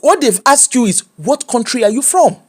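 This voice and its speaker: A man with a Nigerian accent giving opinionated commentary, animated and mocking, slipping into pidgin. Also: extremely high pitch, moderate pace, slightly rough. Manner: animated, switches into pidgin and mimics people.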